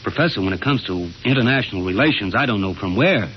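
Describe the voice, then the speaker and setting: An actor playing a plain-spoken, excitable friend and narrator in an old radio drama, folksy and slangy. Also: male voice, lowish voice, fast talker, a little hiss or hum, close to an everyday voice. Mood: uneasy. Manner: folksy, slangy, wide-eyed. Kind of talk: 1950s radio drama acting. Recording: muffled old radio recording with music.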